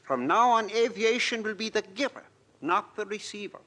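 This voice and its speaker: A villainous foreign doctor of aviation in a vintage radio drama. His voice sits high for a man. He lectures in formal, measured, self-important phrases. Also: animated, sharp tone. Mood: pompous, lecturing. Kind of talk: old-time radio drama acting.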